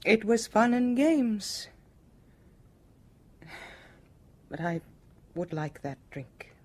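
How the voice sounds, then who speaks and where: An actress with a British accent playing a bitter, fatalistic wife in a radio drama, guarded and weary. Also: female voice, medium pitch, big pitch swings, takes it slowly, slight background noise. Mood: guarded, shaken. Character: brittle, bitter, fatalistic wife of a cruel husband.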